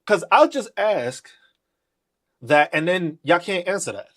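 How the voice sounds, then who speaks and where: A podcast host rants in a profane, confrontational way, mocking the people he argues with. His voice is a little husky and sits fairly high for a man. His pitch swings widely from line to line. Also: very animated, measured pace, a bit bright. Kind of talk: live political rant.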